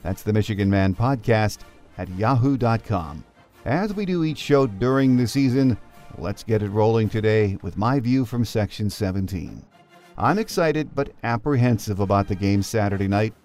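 A man with a fairly low voice and an American accent hosts a sports podcast. He moves between scripted narration and interview questions. Music plays behind him. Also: expressive, normal speed, a little mellow.

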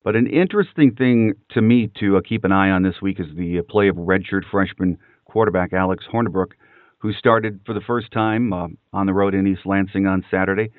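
A male sports podcast host with a deep voice asks questions and gives commentary. He speaks in a measured way with pauses, and the sound is narrow and phone-like. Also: no room sound.

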